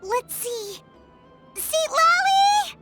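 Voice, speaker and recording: A woman voicing a small, childlike, excitable fairy companion in a video game, with a squeaky, chirpy voice. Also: extremely slow, soft background music, exaggerated voice. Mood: worried, curious. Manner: chirpy, exclamatory.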